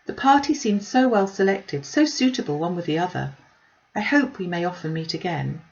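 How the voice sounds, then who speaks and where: A woman voicing a gentle, modest and earnest young lady in a novel reading, polite and measured. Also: on the low side, expressive, vocal fry, dull audio. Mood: contented.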